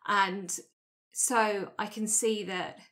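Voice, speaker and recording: A woman player speaking casually and haltingly as she plans a character for a tabletop game. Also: medium pitch, fairly even intonation, fairly bright tone. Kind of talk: casual chat planning a character.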